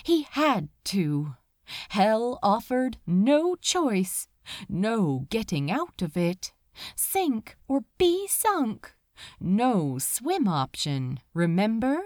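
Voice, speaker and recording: Anguished male demon, voiced by a woman, halting and emphatic. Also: fairly high pitch, sing-song, a little mellow, no room sound, clean studio sound, heavily acted. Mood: desperate. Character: anguished male demon, lovelorn and bitterly exasperated.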